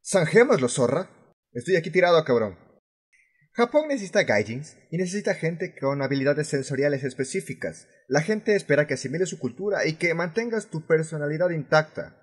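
A man's voice: a little high, lively intonation, a little fast, slightly rough. A man voicing an angry, foul-mouthed expat vlogger in Latin American Spanish, sarcastic, emphatic and confrontational, in the style of a fan dub. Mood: threatening, then explaining. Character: furious, foul-mouthed male expat vlogger ranting about rude tourists.

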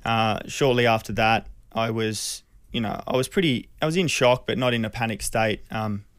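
An Australian man in his thirties telling a personal story in an interview, earnest, hesitant and measured, close on a studio mic. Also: fairly low pitch, a bit bright, clear, resonant tone, dry recording. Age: 30s. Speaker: radio interview guest. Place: small radio studio. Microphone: close studio microphone.

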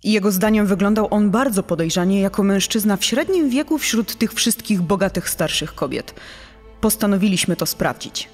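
A businesslike female police investigator, played by an actress gives a measured account in a crime dramatisation. Her mid-pitched voice sits in a long hall reverb over music. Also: expressive, lightly acted. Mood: matter-of-fact. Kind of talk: dramatised true-crime testimony.

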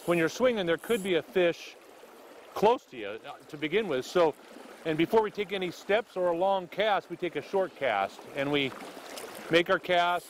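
A man's voice: high voice, expressive, moderate pace, slight background noise. A middle-aged man, a fishing guide, wading in a river and explaining casting step by step, often checking in with "okay?". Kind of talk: on-the-spot fly-casting instruction.

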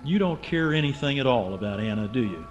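A man plays an earnest, stubborn writer in a radio drama. He is blunt and insistent, at a middle pitch, with faint music. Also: animated, fairly quick, muffled recording, lightly acted. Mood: tense, reproachful. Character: earnest, stubborn American writer, accusing old friend. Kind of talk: radio drama acting and narration.

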